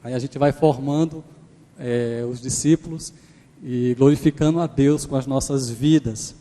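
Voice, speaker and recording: A man in his thirties from Pernambuco, with a Pernambuco accent tinged by Maranhão, preaching as a guest with warm personal stories. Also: medium pitch, fairly even intonation, measured pace. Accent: Pernambuco Portuguese mixed with Maranhão. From Pernambuco, Brazil. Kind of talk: sermon. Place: large church sanctuary. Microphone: handheld microphone. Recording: church hall reverb.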